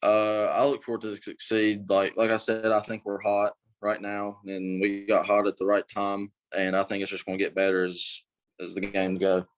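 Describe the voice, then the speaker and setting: A young male basketball player answers in a low, flat voice. He keeps it short and simple, with the odd "I mean.". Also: normal speed, low-quality recording. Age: young adult. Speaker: high school basketball player. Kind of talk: casual interview answers.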